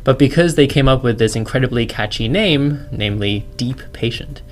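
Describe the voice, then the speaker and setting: A man's voice: medium pitch, moderate pace. A man in his thirties with an Australian accent, a lecturer guest on a podcast, talking casually and joking about his own work. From China. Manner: chatty, self-deprecating, laughs easily.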